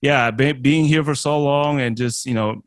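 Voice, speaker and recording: A man from Taiwan whose first language is Chinese, a musician and streamer answering interview questions in a halting way, with many restarts and fillers. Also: mid-range voice, steady tone, no room sound, studio quality. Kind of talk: casual interview.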